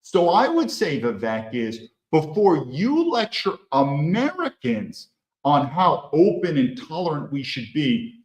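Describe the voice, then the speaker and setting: A man hosts a solo commentary monologue. His voice sits a little high for a man and has a blunt, opinionated edge. He keeps asking rhetorical questions, and the room around him is echoey. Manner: rhetorical, peppers talk with questions. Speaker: host.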